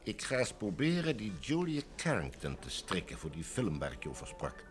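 A worldly, breezy male film director in a vintage radio play. His voice is deep and very animated, with sociable, slangy delivery. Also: moderate pace, soft background music. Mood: breezy, keen. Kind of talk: old Dutch radio drama acting.

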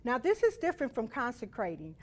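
A woman's voice: fairly high pitch, wildly swooping pitch, fairly quick. A middle-aged woman teaching a Bible lesson to children in an instructive, emphatic way, often checking in with short tag questions. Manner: instructive, emphatic, with check-in tags like "right?" and "okay?". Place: indoor studio or church room.

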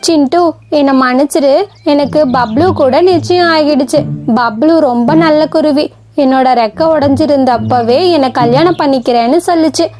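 A woman's voice: sits high, normal speed, a little mellow, lightly acted. A woman voicing a sad, earnest young female sparrow with a broken wing in a children's cartoon story. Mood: gentle.

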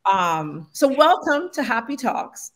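A woman in her forties hosting a casual livestream interview over a video call from home, chatty and animated, addressing viewers as family. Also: on the high side, slow, a little hiss or hum. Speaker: host. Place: home room with plants. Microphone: video call webcam.

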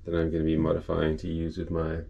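An older man, casually explaining a project aboard his boat in an unhurried, halting way. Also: extremely low pitch, completely flat delivery, gravelly, warm tone, lo-fi sound. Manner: unhurried, halting with restarts and fillers. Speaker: boat owner and video maker. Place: cabin and engine room of a sailing catamaran.

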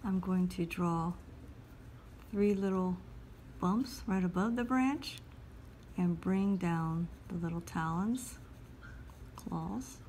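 A woman calmly talking through a step-by-step drawing lesson as she sketches at a table. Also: on the low side, slight background noise, poor audio. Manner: calm, unhurried, talks while drawing. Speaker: drawing instructor. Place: at a table indoors.

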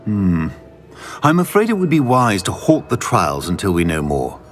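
An actor playing an older, self-assured wizard professor in a video game, speaking formally and with authority. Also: male voice, lowish voice, wildly swooping pitch, moderate pace, faint music behind. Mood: grave, instructive. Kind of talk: video game cutscene acting.

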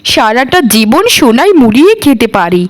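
High-voiced greedy scheming housemaid played by a woman. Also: big pitch swings, moderate pace. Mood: scornful, boastful. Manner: dramatic, emphatic repetition. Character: greedy, scheming, sharp-tongued housemaid with an injured husband. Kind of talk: Bengali cartoon voice acting.

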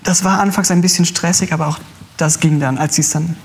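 A young man recounting personal experience as a talk show guest, in a halting, hesitant way. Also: a little high, fairly even intonation, gravelly, slightly rough, big-room sound. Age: young adult. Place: large TV studio. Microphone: lapel mic.

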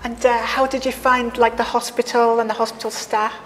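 A young woman interviewing a guest casually, friendly and encouraging, with frequent short agreements, in a large bright hall. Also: a little high, little pitch movement, a little fast, a little hiss or hum. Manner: friendly, many "yeah" backchannels. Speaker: interviewer, hospital staff. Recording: reverberant hall, faint ambience.

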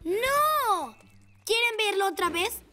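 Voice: very high voice, expressive, slow pace, over loud music, heavily acted. An actor voicing an eager, earnest young boy motorbike in a children's cartoon, proud but a little insecure. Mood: flustered, boastful. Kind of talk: animated children's cartoon dub.

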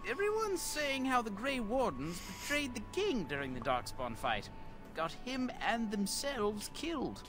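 A shifty bandit from a fantasy video game. His voice sits high and swoops dramatically up and down. He is evasive and defensive, forever excusing himself like someone backed into a corner. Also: sing-song, unhurried, over music, exaggerated voice. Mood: wary, evasive. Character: cornered male bandit, evasive and pleading, then defiant.

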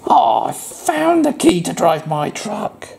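A man plays a picture-book duck, puzzled and pleased with itself. The delivery is theatrical, with big swoops in pitch and an unhurried pace. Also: sing-song, creaky, background noise, exaggerated voice. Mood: smug. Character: puzzled, self-satisfied duck truck driver in a picture book.

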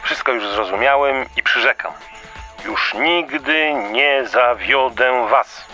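An actor playing a repentant, eager talking reindeer in a radio play. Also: male voice, medium pitch, slow, music in the background, natural voice. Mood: contrite, eager.

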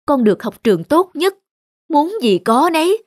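A woman's voice: sits high, very animated, moderate pace, no room sound, clean studio sound. A woman playing a stern, worried mother in a cartoon dub. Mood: stern, disappointed. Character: stern, worried mother scolding her son. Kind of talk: Vietnamese cartoon dubbing.

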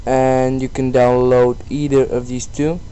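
A man presenting a casual screen-recorded software tutorial in a halting, self-deprecating way. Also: medium pitch, clean tone, low-quality recording. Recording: steady background noise on voice-over.

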